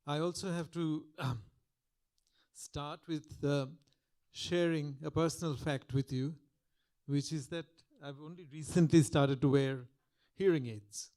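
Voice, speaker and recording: An older man with a delivers a public lecture in a soft, mellow voice on a podium microphone. He talks reflectively, often restarting his sentences, in a slightly raised register. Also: fairly high pitch, fairly even intonation, unhurried. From Calcutta, India. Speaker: guest lecturer.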